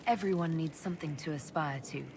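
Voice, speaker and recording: An actress playing a composed, authoritative leader in a fantasy video game, speaking in a formal, firm and measured way. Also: female voice, low-pitched, background noise, close to an everyday voice. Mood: calm. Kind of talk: dramatic video game acting.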